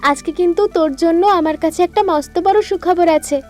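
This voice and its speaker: A woman voicing a kind village woman who runs a sewing business, speaking warmly and encouragingly in a cartoon. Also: extremely high pitch, steady tone, fast talker, soft background music. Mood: kindly. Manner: warm, encouraging. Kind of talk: cartoon voice acting.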